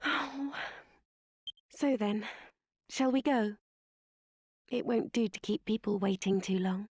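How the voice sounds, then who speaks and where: An actress playing a gentle, dutiful young princess in a video game, speaking formally and tenderly with a breathy voice. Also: female voice, medium pitch, takes it slowly, no room sound, clean studio sound. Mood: resigned, dutiful. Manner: formal, soft-spoken, tender. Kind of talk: scripted video game voice acting. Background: game music.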